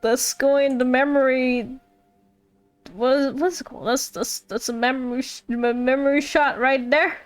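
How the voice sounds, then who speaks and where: Woman game streamer, high voice, slow pace, playful, casual reactions, soft background music. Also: steady tone. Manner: playful, echoes character lines. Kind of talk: casual playthrough commentary.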